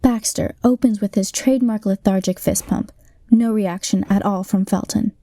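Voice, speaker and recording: A woman's voice: mid-range voice, fairly even intonation, normal speed, mellow, no room sound, lightly acted. A young woman playing a matter-of-fact narrator who describes the action in a comic radio play. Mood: deadpan. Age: young adult. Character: deadpan narrator describing players' moves in sports parody.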